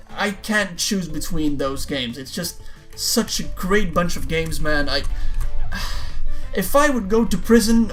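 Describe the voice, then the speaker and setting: A man's voice: on the high side, fairly bright tone. A young man whose first language is Dutch, answering viewer questions in a casual, rambling way, often repeating words and saying "man". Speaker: YouTuber.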